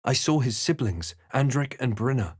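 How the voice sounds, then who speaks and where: An actor playing a brooding male adventurer in a fantasy game, narrating his quest in a measured, theatrical, grave manner. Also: mid-range voice, lively intonation, breathy edge, no room sound, studio quality. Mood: ominous, reflective. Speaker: player character in fantasy role-playing game. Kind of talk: dramatic scripted game voice lines.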